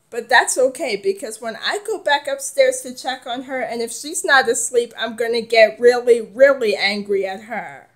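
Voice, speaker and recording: A woman's voice: fairly high pitch, unhurried, fairly bright tone. A woman playing a firm mother who lectures her children. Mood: calm. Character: stern, scolding mother.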